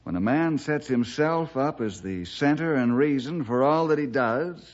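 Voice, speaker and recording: Steady, devout American investigator played by a man, measured and firm, 1960s radio drama, slightly dull archival sound. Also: medium pitch, close to an everyday voice. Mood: solemn. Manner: measured, probing, moralizing. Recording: dull vintage radio recording.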